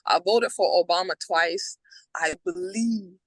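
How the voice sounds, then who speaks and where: A woman's voice: fairly low pitch, unhurried, no echo, low-quality recording. A woman from the American South arguing insistently as a panelist on a remote call from her car. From southern United States. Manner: insistent, repeats "you understand?". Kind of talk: heated panel debate.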